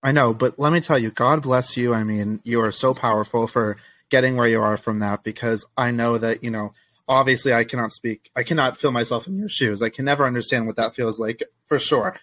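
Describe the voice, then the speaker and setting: A man's voice: medium pitch, a little fast, fairly bright tone, dry recording. A man hosting a podcast interview, friendly and chatty, laughing along with his guest. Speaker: podcast host. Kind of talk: casual interview chat.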